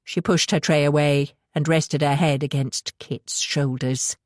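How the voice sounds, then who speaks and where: A woman's voice: low-pitched, steady tone, a little slow, darkish tone, no echo, clean studio sound. A woman with a British accent reading scripted fiction as an audiobook narrator.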